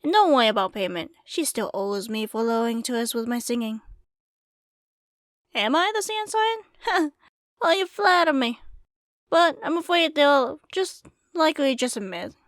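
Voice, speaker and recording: A woman's voice: sits high, expressive, normal speed, dry recording, studio quality. A woman playing an easygoing, wry former singer turned small-town bartender, chatting casually in an intimate ASMR roleplay. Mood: hurried, friendly, then amused, teasing. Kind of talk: ASMR roleplay acting, intimate one-sided conversation.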